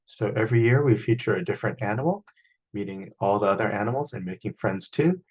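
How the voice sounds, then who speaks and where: A man reading a children's picture book aloud as a storyteller, in an expressive manner, over a video call. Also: lowish voice, quick pace, breathy edge, lo-fi sound. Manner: expressive, acts out sound effects.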